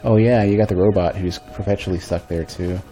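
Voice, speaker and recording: A man streams a game at his desk mic, talking half to himself in a low, bass-heavy voice. His tone is dark and relaxed, a touch stuffy, and he circles back and restarts sentences as he thinks. Game music plays softly underneath. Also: brisk. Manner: rambling, thinking aloud with restarts. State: a bit stuffy. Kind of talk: casual gameplay commentary. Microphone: desk microphone.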